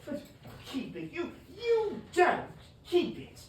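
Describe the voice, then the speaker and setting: A storyteller playing a cheerful young nephew, high and eager, laughing and pleading as he speaks, with a pitch that leaps about. The sound is roomy and heard from a distance, with faint ambience behind. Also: big pitch swings, measured pace, a bit bright, slight background noise, full character voice.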